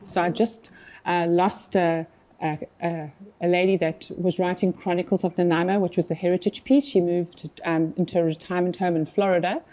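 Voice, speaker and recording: South African woman, fifties, lowish voice, dark mellow tone, chatty with fillers. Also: steady tone, moderate pace. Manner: chatty, frequent fillers and restarts. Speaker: magazine publisher guest. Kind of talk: casual interview chat.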